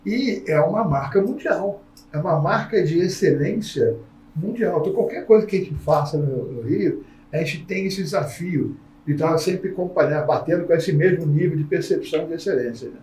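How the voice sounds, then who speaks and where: A man hosting a casual podcast conversation, a lively storyteller who acts out the people in his anecdotes. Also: fairly high pitch, normal speed. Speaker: podcast host. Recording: roomy sound from a distant mic.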